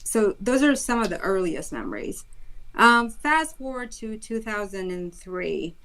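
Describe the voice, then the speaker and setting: A woman in her thirties from Iraq, a guest on an interview, telling her life story in an earnest, animated way. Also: mid-range voice, unhurried, poor audio. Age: 30s. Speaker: guest, school board candidate.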